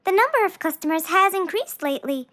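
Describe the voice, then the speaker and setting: A woman's voice: very high-pitched, lively intonation, fairly quick, a bit bright. A woman voicing a tired, polite lady baker in a children's cartoon. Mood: weary, polite.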